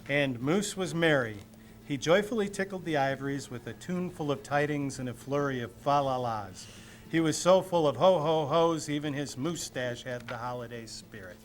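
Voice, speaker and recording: Playful man with a high-pitched reading voice. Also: moderate pace, a little hiss or hum. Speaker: storybook reader. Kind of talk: expressive children's storybook reading. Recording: music playing behind the voice.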